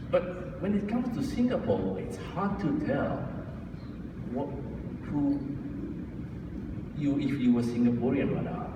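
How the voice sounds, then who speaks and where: A man's voice: medium pitch, background noise. Japanese guy giving a talk, stop-start, lots of restarts, far off in a big echoey hall. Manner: halting, with frequent restarts and chuckles. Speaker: guest speaker at a book launch. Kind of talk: informal speech to an audience. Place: large indoor event hall.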